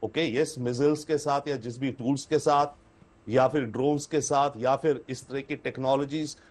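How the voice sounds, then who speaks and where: A man's voice: mid-range voice, fairly even intonation, moderate pace, a little mellow. A man in his sixties with an Indian accent giving analytical commentary over a video call, repeating points for emphasis and mixing in English phrases. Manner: mixes English phrases into Hindi, emphatic repetition. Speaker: foreign affairs expert guest.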